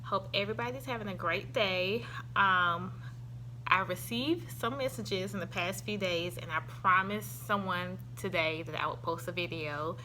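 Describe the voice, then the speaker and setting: A woman's voice: medium pitch, moderate pace, bright, forceful tone, slight background noise, poor audio. A young woman with a Southern US accent, chatting casually through a product review at home and recorded on a handheld phone, rambling with many ums. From Southern United States. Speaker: vlogger reviewing supplements. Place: her home, indoors.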